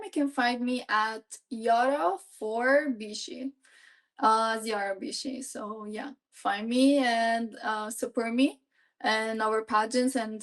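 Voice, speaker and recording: A young woman from Palestine, a podcast guest, chatting warmly with plenty of fillers. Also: fairly high pitch. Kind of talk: casual interview chat.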